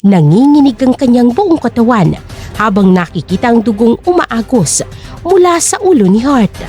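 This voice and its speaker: A woman narrates an audio drama in a high voice. Her reading is scripted and dramatic, with very lively intonation. Music plays behind her. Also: normal speed. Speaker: story narrator.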